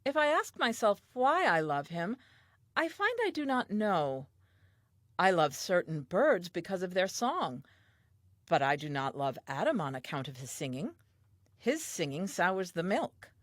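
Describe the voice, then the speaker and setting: A woman's voice: on the low side, sing-song, measured pace, no room sound, studio quality. An actress playing a tender, wondering first woman reading her diary in an audio play, reflective and earnest. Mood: fond, musing.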